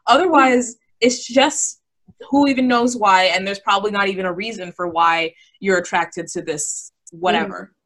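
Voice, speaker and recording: A young woman on a discussion panel who chats casually, joking and reacting quickly with lots of fillers. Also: medium pitch, a bit bright. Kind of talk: casual group chat.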